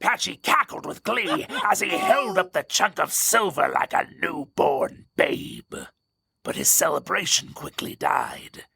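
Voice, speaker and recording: A man narrating a scripted story in a suspenseful, theatrical way, with a gravelly, breathy voice. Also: fairly high pitch, very animated, unhurried, bright, forceful tone.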